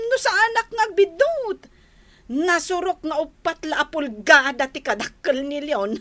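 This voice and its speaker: A boastful young wife and jealous little sister in a comic radio play. Her voice is high and sharp, with slow, exaggerated phrases that swoop with mockery. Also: exaggerated voice. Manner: exaggerated, drawling, giggly. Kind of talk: comedic radio drama acting.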